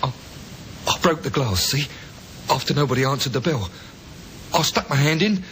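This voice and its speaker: A man's voice: animated, moderate pace, a bit bright, natural voice. A man playing a cornered small-time crook in a 1950s radio drama, slangy and agitated. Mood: anxious, confessing. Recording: old radio recording with noise, rumble.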